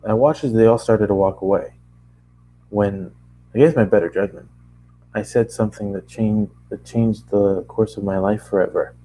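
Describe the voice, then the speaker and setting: Guy reading fan fiction out loud, mellow and a bit deep, stumbles and rereads bits. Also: slight background noise, rough recording. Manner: halting, often rereads and corrects phrases. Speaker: amateur narrator, voice actor. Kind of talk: first-person story read-along.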